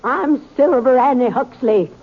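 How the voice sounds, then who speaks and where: A woman's voice: high voice, unhurried, breathy edge, heavily acted. An actress playing a feisty, stubborn older woman miner with a folksy, blunt American voice in a 1950s radio western. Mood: indignant. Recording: tinny vintage 1950s radio recording.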